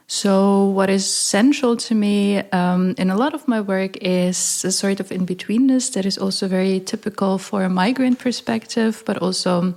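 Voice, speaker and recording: Woman in her thirties, from Ukraine and Germany, interview guest, thoughtful, hesitant, mid pitch. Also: normal speed, creaky, few pauses, darkish tone. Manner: thoughtful, hesitant with fillers, laughs easily. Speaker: artist and researcher, interview guest. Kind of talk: casual conversational interview.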